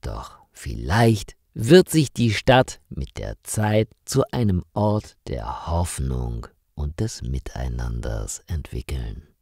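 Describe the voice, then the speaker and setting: A man reading a scripted story for language learners in a measured, carefully articulated storytelling manner. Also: a bass voice, sing-song, vocal fry, dark tone, no room sound, studio quality. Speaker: narrator of stories for language learners.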